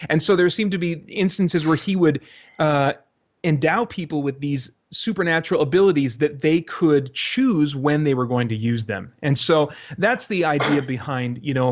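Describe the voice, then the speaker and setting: A man being interviewed, answering casually and thinking aloud in a rambling way, often voicing his own thoughts as quotes. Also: a little high. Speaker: interview guest, creator of a radio drama. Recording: dull, lo-fi interview audio.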